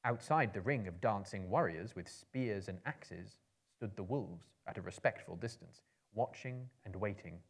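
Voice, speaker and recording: A man in his thirties giving a measured, theatrical reading of a story as a performer. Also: fairly low pitch. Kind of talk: dramatic literary reading to a live audience. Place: indoor book-lined room, seated in an armchair.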